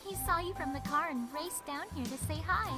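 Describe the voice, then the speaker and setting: A woman's voice: sits high, brisk, over music, lightly acted. A woman voicing a shy, pouting, sulky teenage girl in an anime-style visual novel game. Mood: sulky, quiet.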